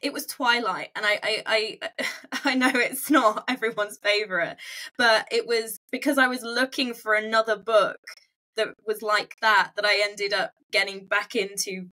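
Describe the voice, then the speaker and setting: A woman's voice: medium pitch, steady tone, moderate pace, sharp tone. A woman hosting a casual interview, often repeating words as she forms her questions. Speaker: podcast host interviewing an author-platform founder.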